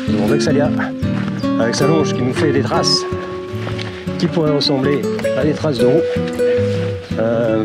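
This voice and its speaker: A man with a slight cold, narrating a casual walking vlog on forest trails, chatty and rambling with many fillers and wry jokes. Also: medium pitch. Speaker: vlogger walking with his dog.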